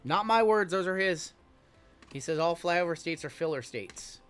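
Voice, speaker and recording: A man's voice: fairly high pitch, lively intonation, moderate pace, fairly bright tone, smooth, faint music behind. A man hosting a live card break, chatting casually and reading the chat aloud with a joking manner. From Iowa. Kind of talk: casual live-stream chat while opening cards.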